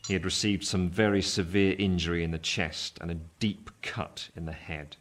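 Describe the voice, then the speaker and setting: Low-voiced period narrator played by a man. Earnest gentleman, literary first-person storytelling over noisy ambient effects. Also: steady tone, normal speed, natural voice. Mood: grave. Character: earnest Victorian gentleman narrator recalling his past. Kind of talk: first-person dramatic narration, radio play. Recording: clear ambient sound effects behind voice.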